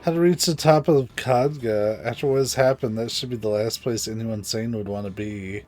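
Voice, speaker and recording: A man's voice: mid-range voice, moderate pace, a little mellow, lightly acted. A man reads as a wary mountain local in a video game, emphatic and cautionary. He sounds reluctant yet helpful, with faint music underneath. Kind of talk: reading game dialogue aloud.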